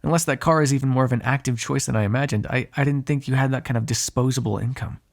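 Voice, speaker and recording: A man playing an ageless, cynical male vampire in an audio roleplay, with a gravelly, husky voice and a sardonic, exasperated manner. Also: medium pitch, quick pace, natural voice. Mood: exasperated, dry. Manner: sardonic, drawling, swearing, exasperated asides. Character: ageless male vampire, cynical, menacing, grudgingly fond captive. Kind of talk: ASMR roleplay, one-sided dramatic dialogue.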